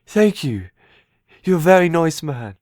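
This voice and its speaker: A man playing a gentle, childlike, simple-minded young man in an audio drama, speaking in an earnest, polite way. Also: fairly high pitch, sing-song, measured pace, no room sound, studio quality. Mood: grateful, polite.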